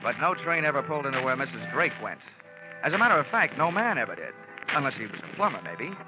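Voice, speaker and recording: An actor narrating as a wry insurance investigator in a 1940s radio drama, with clipped first-person narration. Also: male voice, mid-range voice, big pitch swings, fast, over music, natural voice. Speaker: narrator and lead detective. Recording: lo-fi old radio recording.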